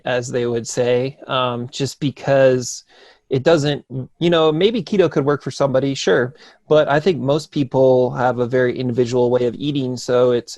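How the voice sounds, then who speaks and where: A man's voice: mid-range voice, a little mellow, dry recording. A man from New Jersey, a nutrition coach talking casually as a podcast guest, easygoing and full of fillers. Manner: easygoing, loose with fillers and restarts. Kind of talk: casual conversation.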